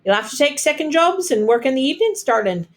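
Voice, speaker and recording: A woman's voice: sits high, sing-song, quick pace, pitched above the performer's own voice. A woman voicing a worldly-wise, scoffing Irish immigrant housemaid in a storybook, emphatic and knowing. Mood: scornful, knowing.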